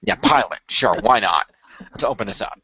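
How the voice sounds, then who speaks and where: A man's voice: on the low side, lively intonation, normal speed, bright, forceful tone, slightly rough, no room sound, dull audio. Hesitant male narrator, dry recording. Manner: hesitant, improvised, stumbling over phrases. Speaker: host and narrator. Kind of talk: informal radio play narration.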